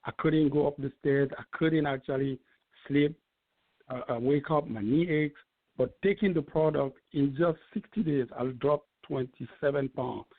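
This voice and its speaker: A man's voice: fairly high pitch, moderate pace, dry recording. A man in his fifties from Cameroon, giving an earnest, persuasive testimonial as a guest on a call. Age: 50s. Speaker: guest speaker. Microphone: call-in line. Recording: tinny phone-like call audio.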